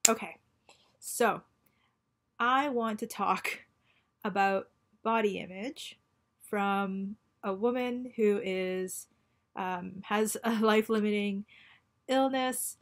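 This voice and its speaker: Woman in her 40s, born in japan, grew up in canada, thoughtful video diary, lots of ums and restarts, middle pitch. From born in Japan, raised in Canada. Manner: halting and reflective, many ums and restarts. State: going through chemotherapy. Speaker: vlogger.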